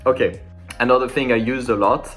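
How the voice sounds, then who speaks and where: A man speaking English as a second language, giving a casual, informal French lesson in an animated way. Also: medium pitch, a little fast, soft background music. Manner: animated and informal, acting out sample dialogues.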